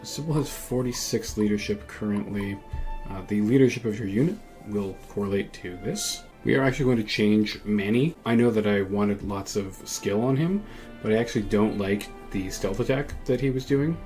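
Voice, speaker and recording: A man with a fairly low voice hosts a let's-play of a strategy game. He thinks aloud in a casual way, explaining each decision as he goes. Light music plays underneath his commentary. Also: normal speed, darkish tone. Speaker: gaming video host. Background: music behind the voice.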